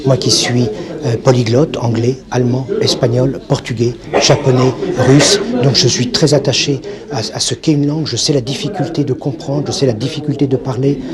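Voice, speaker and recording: A man's voice: medium pitch, steady tone, normal speed. A male trade unionist giving a press conference speech, hesitant and full of fillers. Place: press conference in a crowded room. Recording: crowd noise behind.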